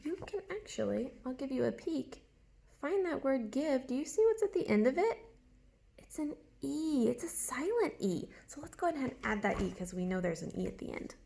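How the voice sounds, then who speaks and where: A woman's voice: high voice, big pitch swings, normal speed, vocal fry, warm tone. A woman teaching a writing lesson to young children, guiding them step by step with questions and spelling words out. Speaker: early elementary teacher.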